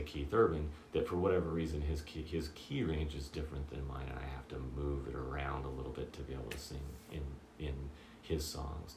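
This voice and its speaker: A man with a very low voice giving a casual guitar lesson, checking in often with "okay?" and "does that make sense?". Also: echoey room, a little hiss or hum. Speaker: guitar teacher.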